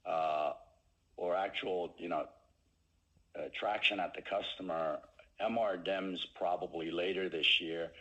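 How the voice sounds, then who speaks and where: Deep voiced exec guy on an earnings call, really slow, halting, lots of pauses. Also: steady tone, fairly bright tone, lo-fi sound. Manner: halting, hesitant phrasing with many pauses. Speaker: company executive. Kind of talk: earnings call question and answer.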